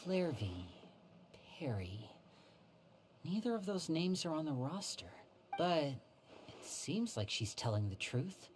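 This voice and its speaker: An actor voicing a calm, scheming young male stage magician in a video game, in a smooth, coaxing tone. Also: on the high side, takes it slowly, long pauses, slight background noise. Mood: thoughtful. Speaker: game character. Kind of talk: game voice acting.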